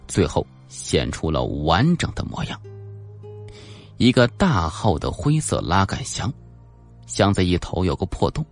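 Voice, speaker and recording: A man narrating a suspense audiobook in a dramatic, scripted storytelling style. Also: deep voice. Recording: faint background music.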